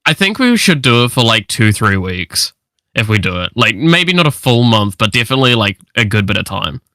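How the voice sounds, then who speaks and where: Bright-voiced young New man, sweary. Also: fairly low pitch, sing-song, fairly quick, dry recording, studio quality. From New Zealand. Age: 20s. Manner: sweary, slangy. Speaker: streamer. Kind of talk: casual livestream chat.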